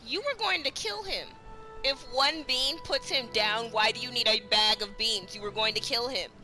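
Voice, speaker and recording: A woman streamer giving casual, expressive, joking reaction commentary while playing a video game. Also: on the high side, normal speed. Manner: expressive, joking, mock-indignant. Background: game music.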